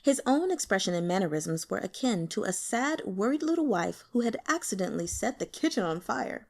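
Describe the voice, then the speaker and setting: A woman narrating a novel as an audiobook reader, in an expressive scripted style. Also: mid-range voice, brisk, vocal fry.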